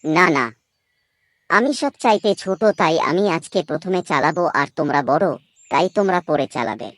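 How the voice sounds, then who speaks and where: A woman's voice: low-pitched, lively intonation, normal speed, fairly bright tone, no room sound. A woman voicing the youngest son of a farmer who argues in a whiny, insistent way.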